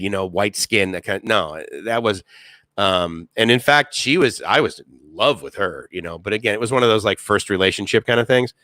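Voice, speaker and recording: A man's voice: lowish voice, sharp tone, no room sound, clean studio sound. A man, hosting a casual live stream, rambling with many fillers and reading chat comments aloud.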